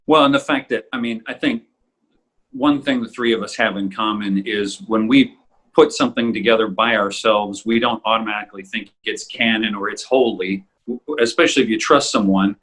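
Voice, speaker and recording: A man in a casual behind-the-scenes chat, talking like a voice actor in a thoughtful, rambling, halting way. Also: fairly low pitch, fairly bright tone, low-quality recording. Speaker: voice actor in an audio drama group.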